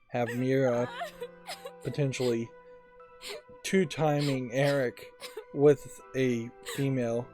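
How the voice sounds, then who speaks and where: A man's voice: medium pitch, mellow. A man giving casual, analytical commentary as he plays a video game, with the game's audio behind him. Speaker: let's play streamer. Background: game music and dialogue.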